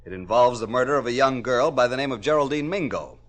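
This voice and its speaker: Actor as authoritative male American professor, vintage radio drama, mid-pitched, fast, emphatic delivery. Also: natural voice. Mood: assured. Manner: brisk, emphatic, certain. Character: authoritative, self-assured male psychology professor. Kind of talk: 1950s radio drama acting.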